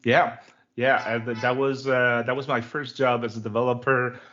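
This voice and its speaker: A man's voice: medium pitch, level tone, moderate pace, fairly bright tone. A man in his forties from Quebec, a native French speaker with a Québécois French accent, chatting as an interview guest in a friendly, talkative way full of fillers. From Quebec, Canada. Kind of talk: casual interview chat. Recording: lo-fi online call audio.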